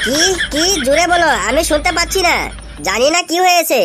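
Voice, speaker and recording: Woman voicing a high-pitched cheeky cartoon schoolboy. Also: a little fast, a bit bright, a little hiss or hum. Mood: feigned confusion. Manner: exclamatory, repetitive mock confusion. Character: cheeky scheming schoolboy pretending to be deaf and crazy. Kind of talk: comedy cartoon dub.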